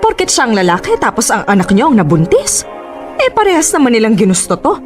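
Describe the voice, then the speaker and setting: A sharp-tongued Filipino mother in a radio drama, arguing and accusing. Her high voice swoops with heavy sarcasm over a music bed. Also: wildly swooping pitch, fairly quick. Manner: sarcastic, argumentative. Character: combative, sharp-tongued mother of a young man, defensive, accusing.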